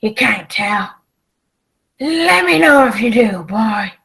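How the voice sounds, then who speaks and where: A woman playing a gruff, bossy old pirate sea captain in a radio play, with a gravelly voice and salty, commanding sailor talk. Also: a little high, expressive, slow pace, a bit bright, exaggerated voice. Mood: wary, secretive.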